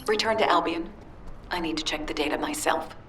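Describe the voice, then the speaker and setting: An actress playing an earnest, composed scientist in a video game, speaking formally in measured, clinical phrases. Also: female voice, low voice, noisy background, close to an everyday voice. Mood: businesslike. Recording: roomy, distant, loud music behind.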